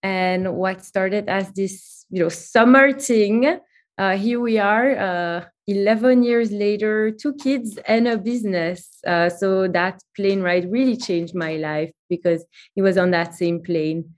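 A woman's voice: medium pitch, slow. A woman in her thirties from Belgium, chatting as a guest in a remote interview and telling stories with many fillers. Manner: chatty, animated storytelling with fillers. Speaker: guest, company CEO.

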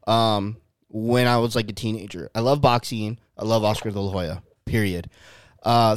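Smooth-voiced man hosting a casual podcast. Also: medium pitch, dry recording, studio quality. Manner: loose, swearing, rambling with fillers. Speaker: podcast co-host.

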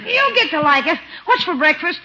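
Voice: very high voice, expressive, moderate pace, sharp tone, exaggerated voice. An actor playing an eager, cheeky young schoolboy with slangy, exclaiming delivery in a 1950s radio comedy. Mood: breezy. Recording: muffled, slightly noisy 1950s radio recording.

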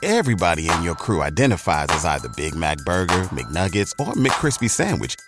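Deep, gravelly man over loud music. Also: very animated, brisk. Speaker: advertisement voice. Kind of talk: casual scripted food ad.

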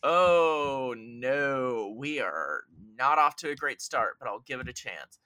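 Bright, animated, opinionated man. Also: medium pitch, slow, clean tone, dry recording, clean studio sound. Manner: opinionated, emphatic, sarcastic, swearing. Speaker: podcast guest film critic. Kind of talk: casual film discussion.

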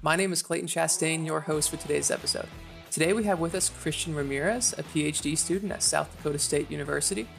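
A man's voice: on the high side, quick pace, creaky, fairly bright tone, faint music behind. A man hosting a podcast interview, asking questions in a casual conversational style. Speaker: podcast host.

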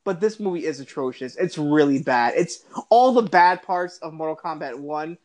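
A man's voice: fairly high pitch, expressive, moderate pace. A man co-hosting a casual pop-culture podcast, ranting in an exasperated, mocking, emphatic way with swearing. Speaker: podcast co-host.

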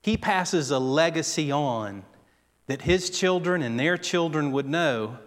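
Slow-preaching man in a reverberant hall. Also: fairly high pitch, expressive. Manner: slow, deliberate preaching with dramatic voiced quotations. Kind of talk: sermon.

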